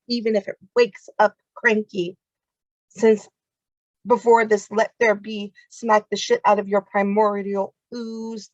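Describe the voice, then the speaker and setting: A woman's voice: mid-range voice, dry recording, muffled recording. Intense spoken-word woman poet. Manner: intense, confrontational delivery.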